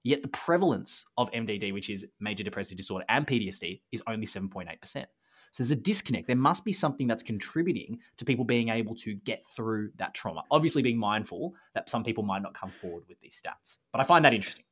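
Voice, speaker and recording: A man's voice: fairly low pitch, animated, quick pace, fairly bright tone, slightly rough. A man, co-hosting a casual science podcast in a chatty, enthusiastic way. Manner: chatty and enthusiastic, calls his partner mate. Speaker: podcast co-host.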